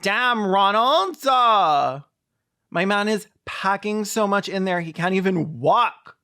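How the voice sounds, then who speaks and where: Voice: medium pitch, lively intonation, slow pace, sharp tone, smooth. A video commentator giving sarcastic, comedic film commentary in a campy, mocking and theatrical way.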